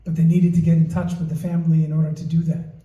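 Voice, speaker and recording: Dark-voiced man reading in an echoing hall. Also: a little high, monotone, quick pace, highs cut off. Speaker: event presenter. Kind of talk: reading a prepared introduction. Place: large community hall. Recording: roomy hall, mic at a distance.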